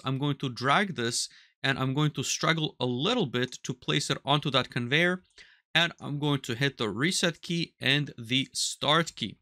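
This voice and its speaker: A man in his thirties giving a step-by-step software tutorial on automation, talking methodically over a headset mic in a small workshop. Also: a little high, no room sound, clean studio sound. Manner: explanatory, methodical, walks through steps aloud. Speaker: automation instructor. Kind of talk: screen-recorded software tutorial. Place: small workshop room with control panels.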